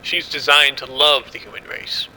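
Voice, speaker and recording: Man acting as confident scientist, very bright sharp voice. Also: medium pitch, fairly even intonation, normal speed, a little hiss or hum, poor audio. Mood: assured, proud. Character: confident male scientist running a secret military experiment. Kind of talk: audio drama acting.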